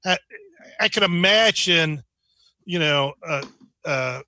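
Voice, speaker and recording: A man's voice: on the high side, lively intonation, slow pace, pushed and bright, no room sound. A man chatting casually on an online group meeting, rambling and rephrasing as he goes. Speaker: club member.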